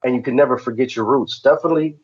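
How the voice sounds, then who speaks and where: A man's voice: medium pitch, very fast, a little mellow. Man chatting casually, slightly dull sound. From southern United States. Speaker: podcast co-host. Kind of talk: casual chat.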